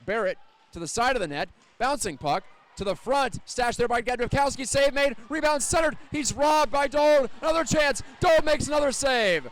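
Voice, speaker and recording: Clear-voiced man calling hockey play-by-play. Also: very high-pitched. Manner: measured, descriptive, lifting on scoring chances. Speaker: play-by-play announcer. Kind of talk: live ice hockey radio-style commentary.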